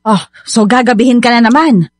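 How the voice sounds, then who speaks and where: A woman's voice: a little high, brisk, no room sound, clean studio sound, lightly acted. A woman with a Filipino accent playing a nagging, greedy, belittling middle-aged mother in a radio drama, scolding and dismissive. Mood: suspicious. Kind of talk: radio drama acting in Tagalog.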